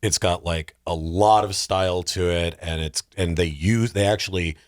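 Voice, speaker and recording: A man's voice: deep voice, moderate pace, dry recording, studio quality. A man in casual podcast conversation as a guest, talking in loose, rambling runs. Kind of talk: casual chat.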